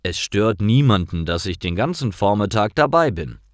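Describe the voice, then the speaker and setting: A man's voice: fairly low pitch, sing-song, normal speed, no room sound, studio quality. A man narrating simple scripted stories for language learners, carefully, in short sentences.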